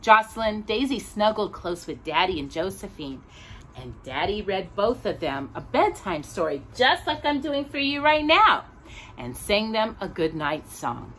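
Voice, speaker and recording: Woman, children's storytime host, slow-paced, very animated, sing-song. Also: medium pitch, a little hiss or hum. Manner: expressive, sing-song, drawn-out delivery. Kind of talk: children's storybook read-aloud and chat to young viewers.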